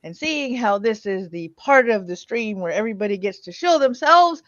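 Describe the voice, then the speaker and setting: A woman's voice: mid-range voice, lively intonation, moderate pace, fairly bright tone, no room sound. A woman hosting a livestream in a casual, chatty style. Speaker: livestream host. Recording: slightly dull close-miked stream audio.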